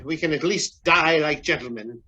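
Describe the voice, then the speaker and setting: A man acting a crotchety, outspoken old gentleman, formal and pompous, in a radio drama. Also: fairly high pitch, moderate pace, highs cut off. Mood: scolding. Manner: blustering, pompous.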